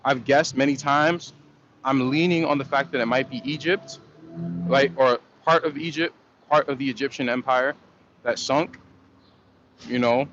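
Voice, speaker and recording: A man chats on a relaxed livestream, rambling through viewer comments in a loose, slangy way with plenty of fillers and restarts. His voice sits at an ordinary middle pitch. The stream audio is dull and lo-fi, with a faint hiss of noise behind it. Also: measured pace, long pauses. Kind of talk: casual livestream chat.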